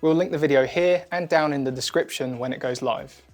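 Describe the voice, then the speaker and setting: A man presenting a scripted product demo in a clear, methodical, instructional manner. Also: medium pitch, a little fast. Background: background music.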